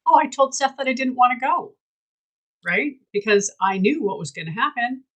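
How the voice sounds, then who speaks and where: A woman's voice: fairly high pitch, animated, normal speed, fairly bright tone. A woman hosting a candid casual chat, talking emphatically and bluntly and swearing freely. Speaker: host.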